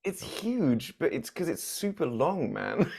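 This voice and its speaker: A man's voice: a little high, big pitch swings, measured pace, creaky, darkish tone. Laughing, chatty man co-hosting. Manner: chatty, laughs often, jokey asides. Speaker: podcast co-host. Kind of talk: casual movie chat.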